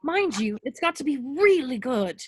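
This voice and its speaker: Girl playing bossy clever schoolgirl, highish voice, dry close sound, amateur table read. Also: sing-song, normal speed, a little mellow, slightly rough, dry recording, exaggerated voice. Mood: brisk planning.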